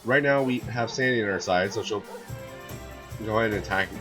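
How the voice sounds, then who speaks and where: A man with a hoarse voice from a cold, casually commenting on a video game and rambling with lots of fillers. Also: on the low side, fairly quick. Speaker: gameplay streamer. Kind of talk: casual let's-play commentary. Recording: gameplay with loud game music.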